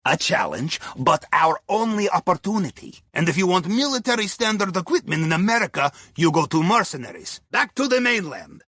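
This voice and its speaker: An actor playing a wry, courteous Russian submarine pilot, advising on missions in a video game with a Russian accent and clipped grammar. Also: male voice, high voice, very animated, fairly quick, few pauses, a bit bright, husky, no room sound, studio quality, exaggerated voice. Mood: wry, businesslike. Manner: wry, deferential, clipped grammar with dropped articles. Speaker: mission handler on comms. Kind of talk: scripted video game dialogue.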